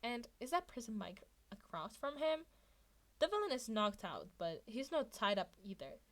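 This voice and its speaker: High-voiced woman reading fan fiction. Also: sing-song, moderate pace, a bit bright. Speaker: amateur podfic narrator.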